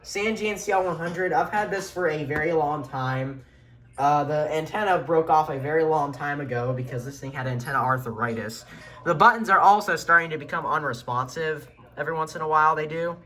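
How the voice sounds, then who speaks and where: A man's voice: fairly high pitch, brisk, bright, forceful tone. A man giving a casual show-and-tell tour of a radio collection as an enthusiastic hobbyist.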